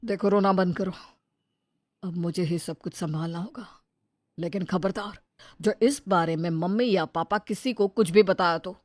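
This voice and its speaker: A woman's voice: moderate pace, darkish tone, dry recording, close to an everyday voice. A woman voicing a young adult elder brother in a storybook drama, speaking firmly and earnestly. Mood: stern. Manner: firm, earnest, mostly low-key. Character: young adult male elder brother, protective and level-headed. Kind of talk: dramatized Hindi storybook dialogue.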